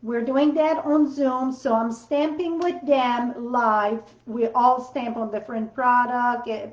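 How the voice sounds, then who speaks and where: Brief: woman host with a French accent, high and unhurried, chatty livestream demo, roomy home craft-room sound. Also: steady tone. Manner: chatty, rambling, loose non-native grammar. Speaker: card-making livestream host. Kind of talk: casual live demo chat. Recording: roomy, slightly dull sound.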